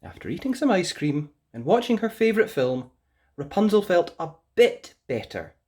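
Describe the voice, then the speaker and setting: Low-voiced, very animated woman storyteller. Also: moderate pace, slightly rough. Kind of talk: expressive children's story read-aloud.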